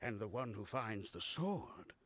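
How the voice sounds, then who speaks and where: Self-important archaeologist, game dialogue, bright forceful tone, swooping intonation, pompous delivery. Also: male voice, lowish voice, big pitch swings, a little slow, no room sound, heavily acted. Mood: boastful, secretive. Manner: pompous, declamatory. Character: excitable, boastful male archaeologist, self-important and indignant. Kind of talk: English game voice acting.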